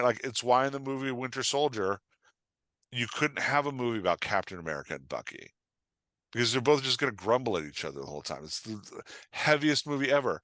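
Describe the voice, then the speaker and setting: A man's voice: medium pitch, pushed and bright, dry recording. A man talking casually as a podcast guest, explaining with examples in an emphatic, storytelling way and often checking in with his listener. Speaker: podcast guest, writing coach and author.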